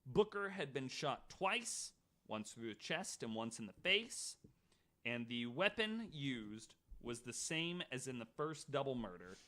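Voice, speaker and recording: A man's voice: mid-range voice, pushed and bright. A man hosting a podcast, reading prepared true-crime notes and dropping in casual asides. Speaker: podcast host.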